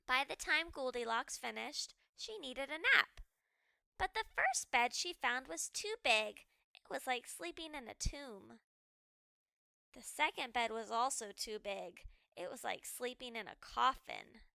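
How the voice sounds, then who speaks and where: Woman doing kids read-aloud, expressive and dramatic, slow pace, lots of swoops in pitch. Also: vocal fry, clear, resonant tone, no room sound, studio quality. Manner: dramatic, animated, deliberate phrasing for children. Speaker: children's read-aloud storyteller. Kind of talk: expressive scripted storybook narration.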